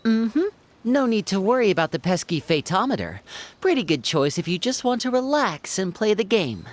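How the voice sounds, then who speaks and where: A woman's voice: mid-range voice, very animated, normal speed. A woman playing a composed, easygoing young strategist in a video game. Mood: reassuring.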